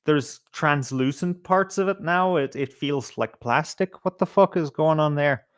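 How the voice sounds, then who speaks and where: A man's voice: on the high side, unhurried, smooth, dry recording, studio quality. A middle-aged man with an Irish accent hosting a casual live stream, swearing freely as he rambles and hesitates through his commentary. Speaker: livestream host.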